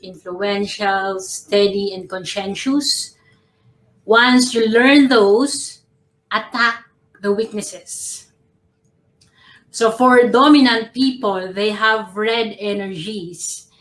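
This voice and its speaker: A woman from the Philippines, a professional coach, giving tips on a panel in a slow, measured, gently ironic way over a video call. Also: mid-range voice, echoey room, rough recording.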